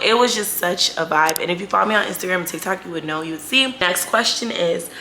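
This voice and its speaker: A woman's voice: fairly low pitch, creaky, sharp tone, a little hiss or hum. A woman in her twenties talking casually in a question-and-answer vlog, slangy, chatty and candid, stretching words for emphasis. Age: 20s. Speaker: YouTube vlogger.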